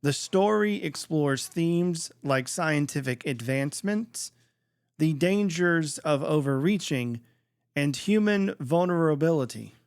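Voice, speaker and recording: A man's voice: a little high, lively intonation, slow, clean tone, no room sound, studio quality. A man reading a scripted introduction as the host of an audio drama podcast. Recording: music behind the voice. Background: plucked guitar music.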